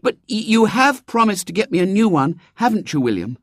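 A man's voice: high voice, very animated, fairly quick, no echo, studio quality, voice pushed up. A man voicing an earnest, trusting and anxious little girl in a children's storybook, with an eager, plaintive manner. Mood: sorrowful, hopeful.

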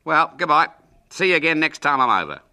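A man voicing a jovial, breezy uncle in a storybook, brisk and exclaiming. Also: lowish voice, very animated, bright, forceful tone, full character voice. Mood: jovial, hearty.

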